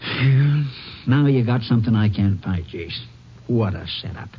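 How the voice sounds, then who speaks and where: An actor playing a stubborn, folksy small-town sheriff with a Texan accent in a 1950s radio drama, blunt and drawling. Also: male voice, lowish voice, animated, unhurried, slightly rough. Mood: reluctant, puzzled. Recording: dull, noisy vintage radio recording.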